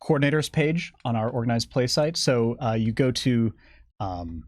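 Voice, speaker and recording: A man's voice: medium pitch, lively intonation, moderate pace, dry recording. A man casually explaining a game as a guest developer, with a wry, enthusiastic manner.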